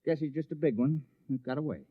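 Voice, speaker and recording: An actor playing a gentle, downcast village fisherman in a 1950s radio drama, sheepish and plain-spoken. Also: fairly high pitch, fast, heavy background noise, natural voice. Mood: wistful. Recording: dull vintage radio recording.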